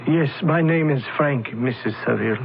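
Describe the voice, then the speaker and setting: An actor plays a composed company man who soothes an upset visitor while giving nothing away, speaking in measured phrases with a gravelly texture. The sound is thin and phone-like, an old radio broadcast with light hiss. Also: medium pitch, close to an everyday voice. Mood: soothing. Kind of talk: 1970s radio drama acting.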